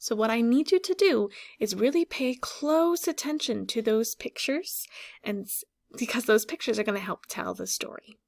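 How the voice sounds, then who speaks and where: Lady teacher reading a kids picture book out loud, kinda high voice, expressive, explains stuff and asks lots of questions. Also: gravelly. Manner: instructive, expressive, stresses key words slowly, asks questions and pauses. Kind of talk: interactive children's read-aloud with explanations and questions.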